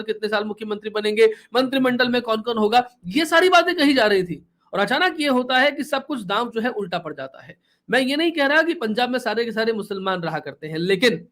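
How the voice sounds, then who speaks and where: A man's voice: high-pitched, a bit bright. A man. He addresses the viewers directly as a host, explaining step by step and leaning on repeated phrases for emphasis. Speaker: political commentator and talk show host. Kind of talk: political commentary addressed to viewers.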